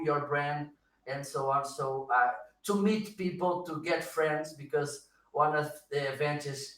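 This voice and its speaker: Slow, halting man with a Portuguese accent. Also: on the high side, a bit bright, recorded from a distance. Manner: halting, searches for words, laughs often. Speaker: guest. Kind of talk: casual live-stream chat. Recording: roomy echo, slightly off-mic.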